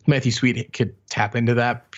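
A man's voice: mid-range voice, flat delivery, moderate pace, dull audio. A man co-hosting a music podcast, giving album opinions in casual chat with a loose, hedging, conversational manner.

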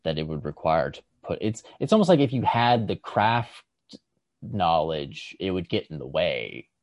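A man co-hosting a podcast, reflecting casually and haltingly, circling back as he searches for the right words. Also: low voice, sing-song, no echo. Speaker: podcast co-host.

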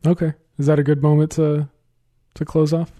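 A man's voice: on the high side, flat delivery, moderate pace, mellow, no echo. A man whose first language is Arabic, hosting a podcast in a casual, dryly humorous way. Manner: dry, self-deprecating humor. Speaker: podcast host. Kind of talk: casual chat.

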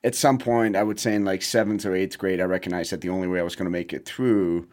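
A man in his forties from Germany, a podcast guest telling stories about his past in a relaxed, wry way. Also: low-pitched, quick pace. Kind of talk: casual storytelling interview.

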